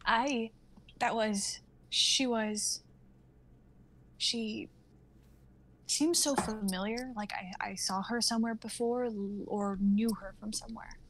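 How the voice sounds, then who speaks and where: A bewildered, hesitant young woman, played by an amateur actress in a drama reading. She speaks slowly and haltingly in a slightly high voice, over a faintly noisy home mic. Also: fairly even intonation, fairly bright tone. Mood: puzzled. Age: 20s.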